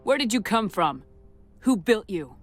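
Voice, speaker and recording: A woman's voice: mid-range voice, very animated, unhurried, faint music behind. A woman playing a resolute military commander in a video game, with a firm, terse, challenging delivery. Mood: defiant. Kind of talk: video game hero voice acting.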